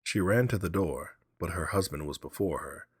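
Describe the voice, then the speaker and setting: A man's voice: low-pitched, normal speed, slightly rough, dry recording, clean studio sound. A man giving a dramatic scripted narration of a horror story.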